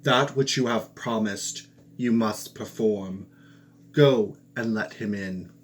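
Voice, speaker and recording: A man's voice: on the low side, slow pace, husky. A man reading the lines of a stern old king who firmly lays down the law to his daughter.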